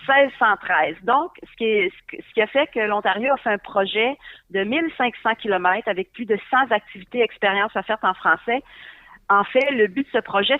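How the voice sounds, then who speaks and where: A woman with a Franco-Ontarian French accent speaks over a thin, phone-like line, with no room echo around her. She explains things in an informative way and fills her pauses with frequent hesitations. Her pitch sits mid-range. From northern Ontario. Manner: fluent, many filler hesitations, informative. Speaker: tourism advisor guest. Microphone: phone or call line. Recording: dry tinny phone line.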